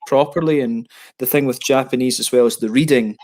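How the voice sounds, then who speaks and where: A man's voice: medium pitch, fairly quick, darkish tone. A man in his thirties chatting casually on a video call through a headset, rambling with lots of restarts and fillers. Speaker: beer reviewer on a video hangout. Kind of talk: casual chat.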